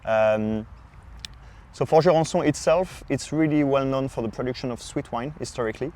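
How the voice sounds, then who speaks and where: A Frenchman with a clear French accent gives an informal, explanatory podcast talk as a sommelier. His voice sits at an average male pitch, and his non-native phrasing is hesitant and halting. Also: warm tone. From France. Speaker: podcast sommelier presenting wine regions.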